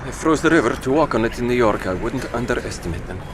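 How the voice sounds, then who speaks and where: A man playing a protective, gentle father in an audio drama, with a reassuring, caring manner. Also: mid-range voice, lively intonation, fast talker, background noise, lightly acted. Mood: concerned. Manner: reassuring, soft-spoken with his child. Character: protective, gentle father, calm helper tending the injured.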